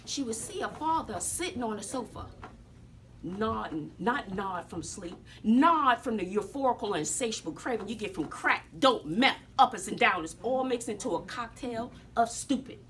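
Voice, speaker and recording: A woman's voice: a little high, animated, moderate pace, fairly bright tone, a little hiss or hum. An American woman playing a sassy, folksy, wrinkled old woman who tells stories to students in an emphatic, theatrical way, repeating herself for drama. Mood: solemn, dramatic. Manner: emphatic, dramatic, repeats phrases, addresses listeners as sugar. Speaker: teacher telling her life story to students. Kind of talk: theatrical classroom storytelling. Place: classroom with students present.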